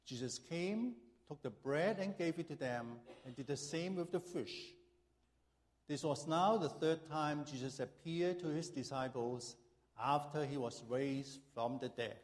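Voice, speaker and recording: A man's voice: a little high, measured pace. A middle-aged male pastor from Hong Kong preaching in a church, reading scripture and then telling a humorous personal story with frequent fillers.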